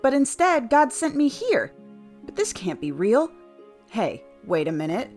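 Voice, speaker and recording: A woman's voice: a little high, sing-song, measured pace, darkish tone, faint music behind. A woman playing a prickly, bewildered newcomer to the afterlife in an audio play, exclamatory in manner. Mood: indignant, baffled.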